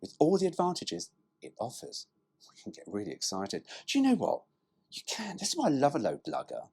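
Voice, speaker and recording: A man's voice: mid-range voice, wildly swooping pitch, fairly quick, a little mellow, slightly rough. A man reading a brochure aloud as a presenter, dropping in cheeky, irreverent, sweary asides.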